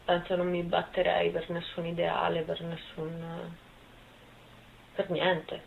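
A woman's voice: on the low side, monotone, takes it slowly, creaky, slight background noise. A woman in her twenties answering interview questions informally, hesitant and thinking aloud. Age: 20s. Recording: thin phone-like sound.

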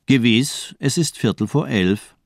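A cultivated, sadistic doctor in a crime radio play. He has a mid-pitched voice and speaks with controlled, mocking courtesy and eloquence. The sound is dry and close, with no room on it. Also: unhurried, creaky, no echo. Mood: probing, superior. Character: sinister, cultivated, sadistic male doctor toying with his captive. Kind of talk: German radio crime drama acting.

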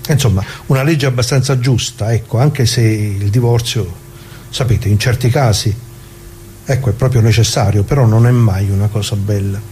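Mellow, rambling old working-class grandfather played by a man. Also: fairly low pitch, moderate pace, slight background noise, close to an everyday voice. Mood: wry reminiscing. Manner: folksy, rambling, full of asides and rhetorical questions. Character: talkative working-class grandfather reminiscing, indignant, wry, old leftist. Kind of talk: theatrical monologue for radio, colloquial storytelling.

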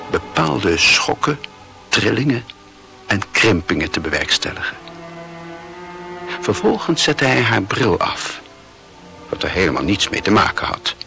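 A man's voice: on the low side, gravelly, bright, forceful tone. A man narrating a literary radio play as a scripted storyteller.

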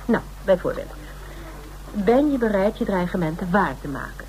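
A woman's voice: mid-range voice, lively intonation, normal speed, darkish tone, natural voice. A woman acting in a Dutch radio play as a businesslike, distrustful private investigator, matter-of-fact and self-assured as she lectures. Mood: businesslike, wary. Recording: noisy radio play recording.

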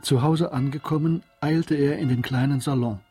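The sombre narrator of a tragic radio drama. His voice is dark and mellow and his reading measured, with faint music behind. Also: on the high side, steady tone, breathy edge.

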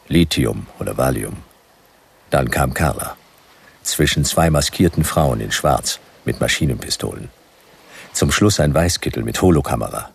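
A very deep, gravelly detective narrates a noir radio play. He is laconic and deadpan, heard a little distant from the microphone with faint noise behind him. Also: full character voice. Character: laconic, world-weary male private detective narrator.

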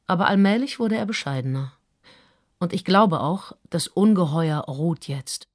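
A woman's voice: fairly low pitch, expressive, a little slow. A woman playing a prim, judgemental older aunt in a radio drama, reading out her report with precise disapproval. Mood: disapproving.

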